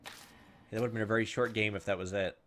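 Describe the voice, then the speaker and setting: A man hosting a let's play, reading game text aloud and adding animated, casual asides. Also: on the low side, rapid-fire, a little hiss or hum. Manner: animated, casual asides between read-out lines. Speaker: gameplay host. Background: game music.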